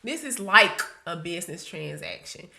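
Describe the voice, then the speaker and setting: A woman's voice: on the low side, very animated, moderate pace, vocal fry, sharp tone. A woman in her thirties co-hosting a podcast, chatting casually in a blunt, profane way that often breaks into laughs. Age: 30s. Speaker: podcast co-host. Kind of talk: casual chat.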